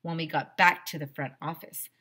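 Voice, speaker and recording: A middle-aged woman reads a children's story as a teacher would, expressively. Her voice sits fairly low, picked up on a headset in a small room. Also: fairly even intonation, normal speed, pushed and bright, slightly rough. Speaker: teacher reading aloud. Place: small decorated room indoors.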